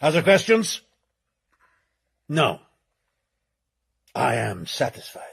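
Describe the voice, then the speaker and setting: An actor playing a commanding criminal mastermind in a radio drama, husky and gravelly, speaking imperiously. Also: male voice, medium pitch, wildly swooping pitch, fairly bright tone, full character voice. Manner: slow, imperious. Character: commanding male criminal mastermind, deliberate and controlled.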